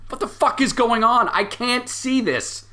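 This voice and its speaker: A man's voice: very high-pitched, a little slow, pushed and bright, pitched above the performer's own voice, exaggerated voice. A man voicing a panicked, bewildered clown who stammers and repeats himself in a tabletop game. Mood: panicked shouting.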